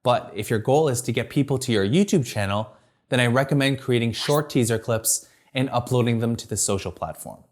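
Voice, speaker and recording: A man presenting a scripted video marketing tutorial from a teleprompter in a clear, structured, upbeat way. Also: mid-range voice, brisk.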